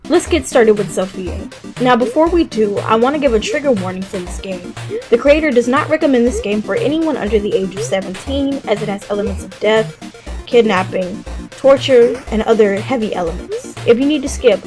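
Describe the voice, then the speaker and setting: A woman's voice: on the high side, animated, moderate pace. A woman hosting a scripted video game list, narrating in a conversational way with casual asides. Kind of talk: scripted video narration, top-five review. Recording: music behind the voice. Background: background music.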